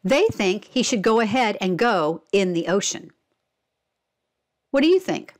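A woman's voice: mid-range voice, sing-song, a little slow. A woman reading a children's storybook and presenting it instructively, expressively asking young listeners questions. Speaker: read-aloud narrator and presenter.

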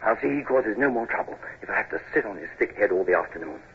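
An actor playing a loyal, eager British junior naval officer with a husky voice in a radio drama. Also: male voice, lowish voice, lively intonation, fast, heavily acted. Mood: brisk, reassuring. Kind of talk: 1950s radio drama acting. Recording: muffled 1950s radio recording.

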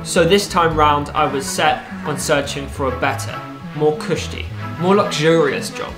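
A young man narrating a personal story in a vlog, lively and wry, with playful asides. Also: fairly high pitch, a little slow, roomy sound, music in the background. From England. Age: young adult. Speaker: vlogger. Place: small room with a desk lamp, indoors.

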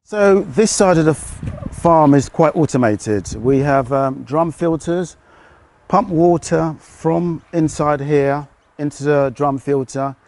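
A middle-aged British man, a fish farmer, explaining his work outdoors in a relaxed, hesitant way. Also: mid-range voice. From United Kingdom. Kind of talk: casual interview answers.

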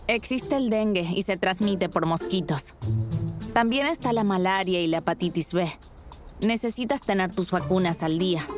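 A woman's voice: fairly low pitch, lightly acted. A woman with a Latin American Spanish accent dubbing a skeptical, protective young woman in a reality show. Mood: frank, warning. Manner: frank, questioning. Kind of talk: dubbed reality show talk and confessional. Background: background music.

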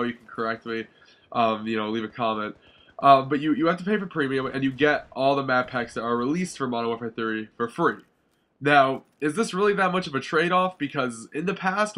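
A young man talks over his gameplay footage, his voice thick and nasal from a sinus infection. His tone is bright and edgy, at a middling pitch. He rambles casually, swears freely and keeps correcting himself mid-sentence. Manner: rambling, swears, many ums and self-corrections. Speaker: gaming commentator.

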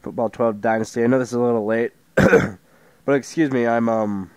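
A man's voice: mid-range voice, fairly even intonation, normal speed, smooth, no room sound. A teenage boy from Idaho, sick with a sore throat, giving rambling casual commentary at home while he reads out game settings. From Idaho, USA. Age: teens. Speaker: gaming YouTuber. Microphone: headset mic with tangled cords.

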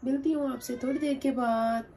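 A woman in her thirties chatting casually to the camera in a home vlog, hoarse from a sore throat. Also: sits high, fairly even intonation, moderate pace, mellow, a little hiss or hum. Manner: chatty, teasing, addresses viewers directly. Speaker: family vlogger. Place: home kitchen and bedroom.

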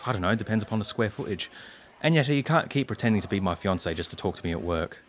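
Guy doing a nervous low-voiced junior aide, hesitant, radio comedy. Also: animated, quick pace, a little hiss or hum, close to an everyday voice. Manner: hesitant, self-doubting. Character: nervous junior government staffer, man.

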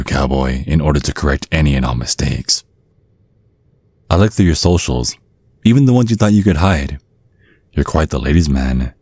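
A man plays a genteel, menacing old vampire dad in an ASMR roleplay. His voice is extremely low and recorded very dry and close. He talks down to his daughter's suitor with polished, sly threat. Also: dark tone, dry recording. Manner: slyly threatening, condescending, mock-polite. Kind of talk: ASMR roleplay, scripted monologue.

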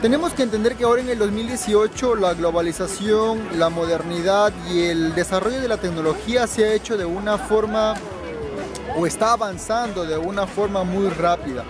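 Young man, high voice, travel vlogger, emphatic advice, outdoors, camera at arm's length, music behind. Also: moderate pace. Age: 20s. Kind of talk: informal advice vlog to camera. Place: outdoors in city square and narrow streets.

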